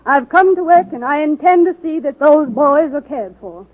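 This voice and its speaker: Casting: fierce middle-aged nurse, bossy and protective, notably high-pitched, vintage radio drama on narrow, tinny recording. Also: female voice, expressive, normal speed, loud music behind the voice. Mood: brisk, commanding. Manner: blunt, commanding, indignant. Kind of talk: 1930s-40s radio drama acting.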